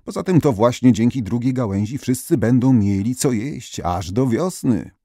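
Expressive male storyteller with a gravelly edge. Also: medium pitch, moderate pace, dry recording, clean studio sound. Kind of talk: scripted storytelling narration of folk tales.

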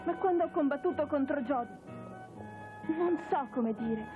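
A woman's voice: sits high, moderate pace, lightly acted. A voice actress playing a sincere, hesitant teenage girl in a cartoon dub. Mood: sincere resolve. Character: sincere, reluctant teenage girl judo prodigy. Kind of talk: cartoon dubbing. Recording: narrow phone-like dub with music behind.

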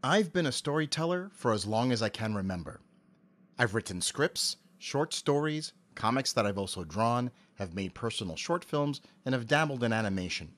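Male interview guest, mid-pitched, articulate and measured, with prepared-sounding answers. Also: lively intonation, fairly bright tone. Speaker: interview guest, amateur voice actor and animator.

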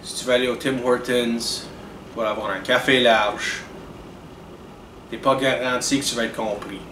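Young man, earnest vlog commentary, unhurried, echoey room. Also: mid-range voice, fairly even intonation. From Canada. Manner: earnest, deliberate, with frequent restarts and hand gestures. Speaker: video blogger. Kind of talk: direct-to-camera commentary. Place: indoors in front of a dark curtain. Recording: roomy, distant with air-conditioning hum.

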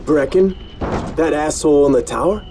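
An actor playing a hostile, foul-mouthed thug guard in a video game, aggressive and mocking. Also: male voice, on the high side, normal speed, warm tone, slight background noise, lightly acted. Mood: sneering. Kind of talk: video game cutscene acting.

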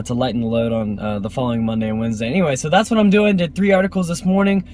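A man in his twenties talking casually to camera like a gym trainer, in an upbeat, slangy, folksy way. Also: mid-range voice. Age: 20s. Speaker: gym owner and personal trainer. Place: inside a car. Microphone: phone at arm's length. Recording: noisy car interior with engine and road hum.

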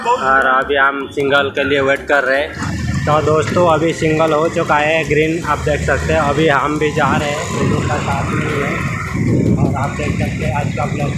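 A man's voice: fairly high pitch, low-quality recording. A young man narrating a casual vlog while riding a bicycle on a busy city street outdoors, filming with a phone at arm's length and repeatedly pointing things out to viewers. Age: young adult. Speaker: vlogger. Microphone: phone camera held at arm's length. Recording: loud street traffic noise. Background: loud traffic noise.

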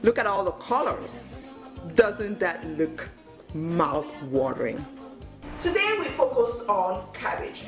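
A woman's voice: mid-range voice, sing-song, slow. Woman narrating a recipe over music. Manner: step-by-step instructing in short phrases. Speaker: cooking show host. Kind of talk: instructional cooking narration. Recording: music under the voice.

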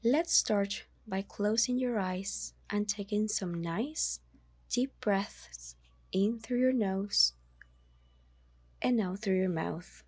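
A woman narrating a guided meditation in a soothing, measured manner, leaving long pauses between instructions. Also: mid-range voice, a little mellow, breathy edge, dry recording, studio quality.

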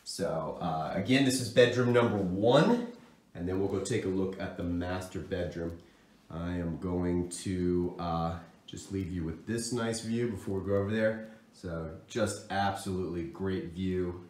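Low-voiced man giving an echoey property tour. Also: unhurried, a little mellow. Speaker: real estate agent. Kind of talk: walk-through property tour narration. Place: indoors, hallway and rooms of an apartment. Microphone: phone held at arm's length. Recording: echoey rooms with background noise.

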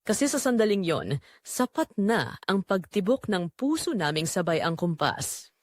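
Audio drama narrator: wistful young man female performer, earnest, dramatic, lively intonation, dry sound. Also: mid-range voice, moderate pace, no echo. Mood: wistful, intimate. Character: wistful young man recalling a secret romance. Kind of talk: scripted first-person audio drama narration.

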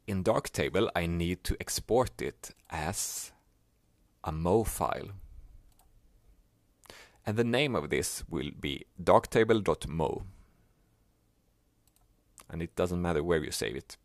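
A man whose first language is Swedish, explaining a software task step by step in a plain, unhurried way. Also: low voice, no room sound. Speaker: software tutorial maker. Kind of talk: screen-recorded software tutorial.

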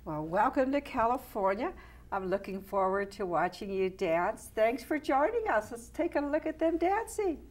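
A woman's voice: fairly high pitch, big pitch swings, moderate pace. A woman hosting a studio interview show, welcoming a guest and giving friendly, encouraging prompts into a lapel mic. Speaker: talk show host. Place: TV studio set, indoors.

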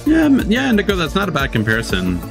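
A man's voice: high-pitched, huge pitch swings, fast, gravelly. A man doing casual live reaction commentary in a blunt, informal, slangy way. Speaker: streamer. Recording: game trailer audio behind. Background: trailer music.

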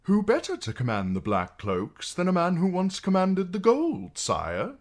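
A man doing a defensive lord steward, pitched high. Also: huge pitch swings, unhurried, heavily acted. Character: defensive middle-aged male lord steward.

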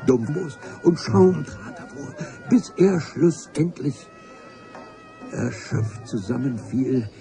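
A wry adventure narrator in a radio play, with music behind him. He tells the tale slowly and ornately at a middling pitch. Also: animated. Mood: dramatic storytelling. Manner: ornate, deliberate storytelling.

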